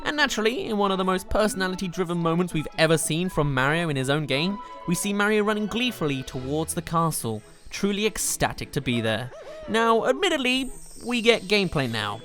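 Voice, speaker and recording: Animated, higher-pitched man reviewing games. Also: a little fast. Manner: enthusiastic, emphatic. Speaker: video game reviewer and channel host. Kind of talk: scripted countdown review narration. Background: music.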